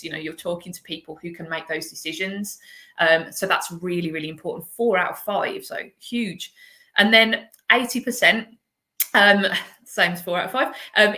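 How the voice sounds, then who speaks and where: Bright chatty Birmingham woman. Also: medium pitch, echoey room. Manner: chatty, laughs often, many fillers. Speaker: host, agency director. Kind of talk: live video interview. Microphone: video call.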